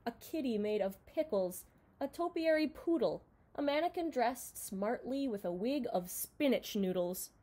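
A young woman reads a picture book aloud for children. Her voice is fairly high and swings widely in pitch, giving the narration a very expressive, dramatized delivery. She is recorded indoors at home. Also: a little slow. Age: young adult. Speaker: storybook reader.

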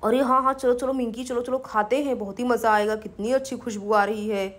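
A woman's voice: on the high side, steady tone, brisk, voice pushed down, close to an everyday voice. A woman voicing a greedy, delighted little boy in a children's doll story. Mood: gleeful.

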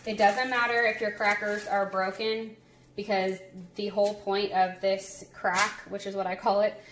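A young woman narrating a casual cook-along in her home kitchen, chatty and relaxed, laughing at her own slips. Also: mid-range voice, normal speed, noisy background. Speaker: home cooking vlogger. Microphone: phone camera over the counter.

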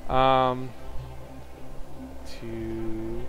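A man giving casual, rambling let's play commentary, often breaking off mid-thought, with a slightly hoarse voice. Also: medium pitch, little pitch movement, a bit bright, dull audio. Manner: halting, rambling, self-interrupting. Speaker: video game commentator. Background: video game music.

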